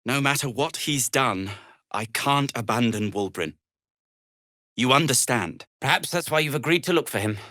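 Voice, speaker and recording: An actor playing an earnest, self-deprecating gnome adventurer in a video game, hesitant and wry, with formal, wordy phrasing. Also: male voice, mid-range voice, lively intonation, normal speed, sharp tone, dry recording, studio quality. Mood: worried, determined. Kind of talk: video game character dialogue.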